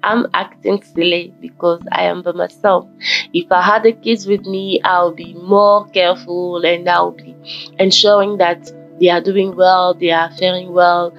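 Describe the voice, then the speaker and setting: Croaky woman from Ghana vlogging. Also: lowish voice, level tone, a little slow. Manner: rambling, repeats words, self-corrects. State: croaky from just waking up. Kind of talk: casual motivational vlog monologue. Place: forest fitness trail outdoors. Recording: dull lo-fi audio with faint background music.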